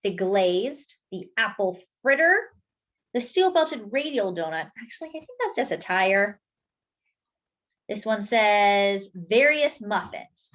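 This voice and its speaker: A woman reading a picture book aloud expressively to young children, pausing to explain things as she goes. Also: medium pitch, lively intonation, fairly bright tone, highs cut off. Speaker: children's storyteller. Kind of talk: animated picture-book read-aloud with asides.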